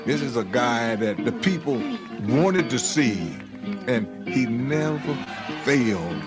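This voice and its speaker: A man telling baseball history slowly and deliberately in a documentary interview. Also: medium pitch, lively intonation. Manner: slow, measured storytelling. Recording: documentary clip with music under it. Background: guitar music.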